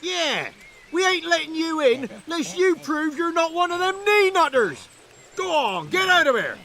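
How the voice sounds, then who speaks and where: A dim, suspicious village guard in a comic fantasy game. The voice is extremely high and sharp, jumping about with cocky, colloquial bluster. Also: male voice, very animated, moderate pace, exaggerated voice. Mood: jeering. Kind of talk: comic game character dialogue.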